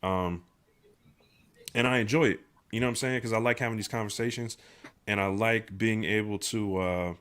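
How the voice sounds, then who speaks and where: A man's voice: lowish voice, fairly even intonation, normal speed, a bit bright, clear, resonant tone. A man hosting a live stream in casual chat, nasal from a sinus infection, laid-back and slangy, with lots of fillers and restarts. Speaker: livestream host.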